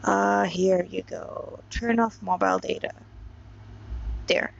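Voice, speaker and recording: A woman's voice: mid-range voice, fairly even intonation, slow, gravelly. A woman from Montreal reading a story aloud in an informal, rambling way, often breaking off for chatty asides. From Montreal, Canada. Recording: dull lo-fi recording.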